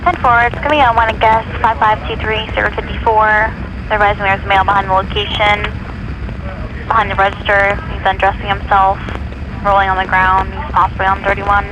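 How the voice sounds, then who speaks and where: Fast-talking female police dispatcher over radio. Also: mid-range voice, level tone. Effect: two-way police radio. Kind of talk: clipped procedural radio dispatch. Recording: noisy police scanner capture.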